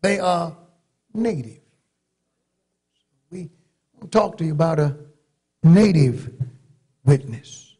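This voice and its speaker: A middle-aged man from North Carolina with a Southern accent, preaching a sermon from a church pulpit with long pauses and rising emphasis. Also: a little high, animated, warm tone, breathy edge. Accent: Southern American. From North Carolina, USA. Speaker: pastor. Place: large church sanctuary, at a pulpit. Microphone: pulpit microphone.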